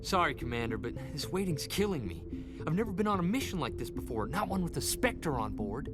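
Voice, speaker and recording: A man's voice: on the high side, sing-song, a little fast, music in the background. An actor playing an eager, restless young male corporal with an earnest manner in a video game. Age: young adult. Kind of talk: video game cutscene acting.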